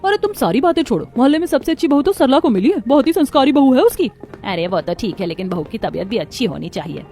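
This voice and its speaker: A woman's voice: high voice, sing-song, fast, warm tone, soft background music. Woman as a gossipy middle-aged neighbour. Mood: admiring. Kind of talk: cartoon story character voice.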